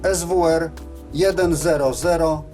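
A man's voice: fairly high pitch, unhurried, darkish tone, faint music behind. A man giving scripted, methodical and matter-of-fact instructional narration as the presenter of a technical tutorial.

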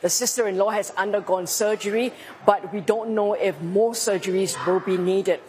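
A woman in her forties reports live, measured and articulate. She speaks into a lapel mic in an indoor hospital lobby, a faint hum of noise around her. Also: mid-range voice, fairly even intonation, a little mellow. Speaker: field reporter.

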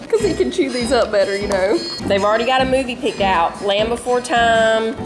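Mom in her 30s, chatty cook-with-me talk in the kitchen, kinda high voice, loud music behind. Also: lively intonation, moderate pace. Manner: chatty, homey, talks to viewers as y'all. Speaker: home cooking vlogger and mother. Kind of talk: casual cook-with-me narration. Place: home kitchen, indoors.

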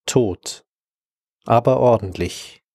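Very slow man reading poetry, dry. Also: mid-range voice, vocal fry, dark tone, dry recording.

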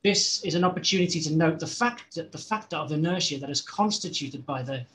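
A man's voice: fairly high pitch, lively intonation, a little fast, a bit bright. A man with a Scouse accent reading a dense seminar text aloud over a video call, with frequent restarts and self-corrections. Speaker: study group member reading aloud.

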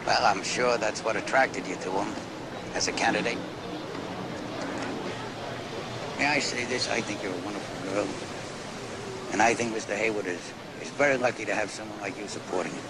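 Rumpled, curious police lieutenant male actor, audio drama. Slightly low, hesitant, courteous but never lets go; heavy outdoor noise. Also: a little fast, lightly acted. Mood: gently courteous. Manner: hesitant, unassuming, politely persistent questioning. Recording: loud street and vehicle noise behind.

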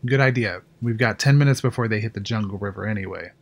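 A man's voice: on the low side, quick pace. A man voicing a wary, chain-smoking park engineer who talks in a guarded, matter-of-fact way. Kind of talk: fan audio drama acting.

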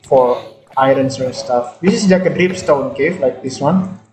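A man's voice: medium pitch, a little slow, noisy background. Rambling gamer guy in an echoey room. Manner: rambling, filler-heavy, repeats words. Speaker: gaming channel host. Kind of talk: casual gameplay chat.

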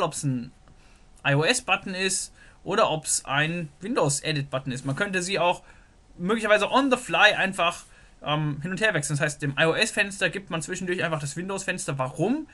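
A man's voice: high-pitched, lively intonation, moderate pace, bright, forceful tone. A man teaching a programming lesson over a screen recording. He thinks aloud while he sketches, with many "so" fillers. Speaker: programming tutor.

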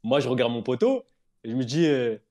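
A man guest telling a personal story in casual chat, relaxed and rambling with fillers. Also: mid-range voice, fairly quick.

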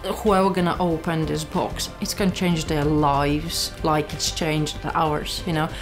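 A woman in her thirties with a Latvian accent, giving a candid, halting personal testimony. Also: deep voice, little pitch movement. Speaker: church member giving testimony. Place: small living room. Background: background music.

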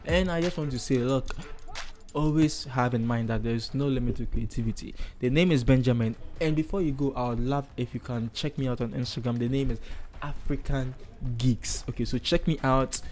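Ghanaian guy doing a chatty how-to, kinda rambly thinking out loud, normal pitch, music pretty loud behind him. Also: a little fast. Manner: chatty, rambling, thinking aloud while working. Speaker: tutorial host. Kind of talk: casual screen-recorded software tutorial.

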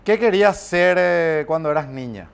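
A man's voice: a little high, expressive, moderate pace, creaky. Joking man hosting an interview. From Paraguay. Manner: joking, teasing banter. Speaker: interview host. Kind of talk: quick-fire question interview. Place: dark studio set, seated. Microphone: lapel.

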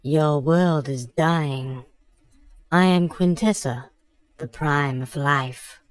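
An imperious alien robot queen from a sci-fi film, played by a man, with an artificially feminized voice from a voice changer. The words come very slowly and solemnly, in a bone-dry sound. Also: no echo. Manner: portentous, deliberate. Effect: male-to-female voice changer, pitched up.

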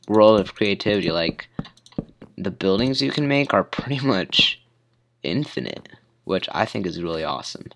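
Teenage boy, hoarse with a cold, low voice, unhurried rambling gaming commentary. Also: fairly bright tone, a little hiss or hum. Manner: rambling, lots of fillers. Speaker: gamer. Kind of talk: casual gameplay commentary.

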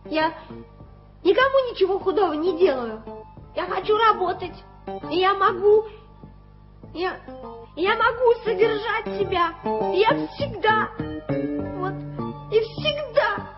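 An actress playing a stubborn, suspicious young street waif in a radio play, talking bluntly and defiantly. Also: female voice, very high-pitched. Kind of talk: 1980s radio play acting. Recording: dull lo-fi radio play recording.

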